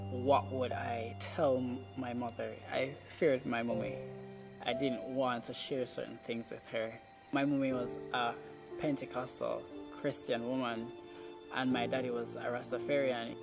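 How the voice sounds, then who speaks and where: A Jamaican-accented speaker tells a personal story at a slow pace. The voice sits at a middling pitch and moves in short, deliberate phrases. Music plays clearly underneath. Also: flat delivery. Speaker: documentary subject telling own story.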